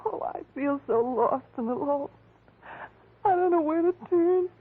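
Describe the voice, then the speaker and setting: High-voiced frantic old flame. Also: female voice, measured pace, a little hiss or hum. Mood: pleading. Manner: melodramatic, pleading. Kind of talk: 1940s radio drama acting. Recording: tinny vintage 1940s radio recording with music.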